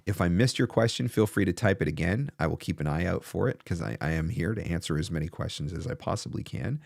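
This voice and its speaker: Low-voiced man, dry close studio mic. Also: lively intonation, fast, creaky, darkish tone, no echo. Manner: emphatic, rhetorical questions, repeats key phrases for stress. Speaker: host, voice actor coach. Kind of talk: casual livestream Q&A advice. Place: small home studio, indoors. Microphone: close desk broadcast microphone.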